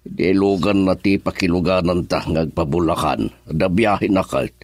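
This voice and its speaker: A man's voice: deep voice, normal speed, a little mellow, dry recording. A man acting in a radio drama as an elderly, patient and caring father, with an Ilocano accent. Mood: calm, resolved.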